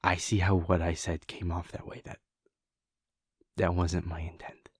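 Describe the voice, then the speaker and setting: A man talking softly and hesitantly to a partner in an intimate audio roleplay, pausing with sighs and restarts. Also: deep voice, little pitch movement, husky, no room sound, studio quality.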